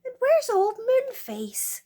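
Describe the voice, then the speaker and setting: An earnest storybook child full of astonishment, played by a woman reading aloud. The voice is high and exclaiming, with very lively swings in pitch. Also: slow, exaggerated voice. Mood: curious, concerned. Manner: exclaiming, emphatic. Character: astonished, earnest children exploring an enchanted wood.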